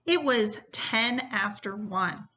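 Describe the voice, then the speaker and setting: A woman teacher reading a story aloud to students in a deliberate, instructional way. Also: mid-range voice, lively intonation, bright, forceful tone. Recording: dull, lo-fi sound.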